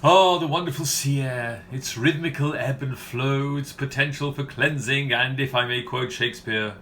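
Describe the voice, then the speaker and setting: An actor playing a pompous, romantic, fussy gentleman customer in a comedy radio play, speaking in a theatrical, flowery way. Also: mid-range voice, moderate pace, a little hiss or hum, lightly acted. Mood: grandly effusive.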